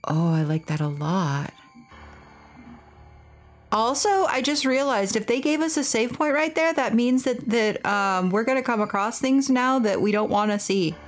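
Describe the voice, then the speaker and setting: A woman giving playful, expressive let's play commentary on a game, excitable and theatrical when reading aloud. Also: on the high side, measured pace, soft background music. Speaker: game streamer and host.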